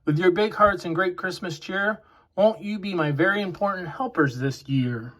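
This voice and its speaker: A man's voice: high-pitched, moderate pace, fairly bright tone. A man voicing a jolly, generous old Santa making a proclamation in a children's storybook. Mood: cheerful.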